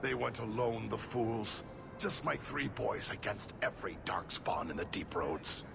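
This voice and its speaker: A gravelly, indignant dwarf merchant father. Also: fairly low pitch, unhurried, over music. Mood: desperate, grieving. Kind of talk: video game voice acting.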